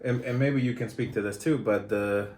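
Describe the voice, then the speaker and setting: A man from Spain hosting a casual podcast conversation, chatty and quick to laugh. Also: fairly low pitch, darkish tone. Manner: chatty, laughs often, many restarts. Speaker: podcast host. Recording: echoey room with faint noise.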